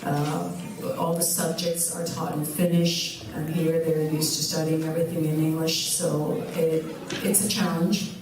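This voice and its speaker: Low, flat-voiced woman in echoey hall. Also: a little slow, hardly any pauses. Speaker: school representative. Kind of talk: answering audience questions. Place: event hall. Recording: echoey hall, distant mic, noise.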